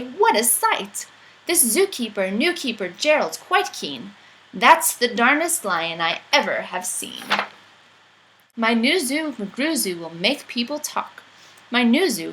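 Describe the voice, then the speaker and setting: Woman as boastful young boy, storybook rhymes, sing-song, imaginative, highish pitch, lively swings, background noise. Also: low-quality recording. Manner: rhyming, emphatic, sing-song storybook delivery.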